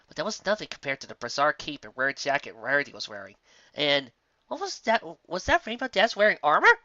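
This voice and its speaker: A woman narrating a fan-fiction story in an expressive storybook style. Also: low-pitched, a little fast, no room sound. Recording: dull, muffled audio.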